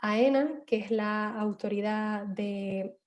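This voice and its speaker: A woman's voice: mid-range voice, slow. A woman giving a panel talk on a video call, hesitant, with many fillers and restarts. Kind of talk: online seminar talk.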